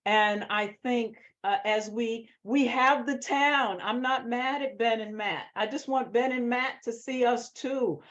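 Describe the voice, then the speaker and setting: An American woman speaks as a screenwriter answering questions, in a slow, deliberate pace. She is emphatic and passionate about what she explains, and the recording is a little dull and lo-fi. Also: on the high side, fairly bright tone. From Boston, United States. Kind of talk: post-reading Q&A talk. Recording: dull lo-fi sound.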